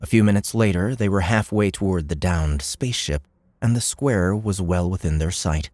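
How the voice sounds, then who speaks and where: A man's voice: sits low, moderate pace, a little mellow, no echo. A man narrating an audiobook in a scripted, dramatic storytelling style.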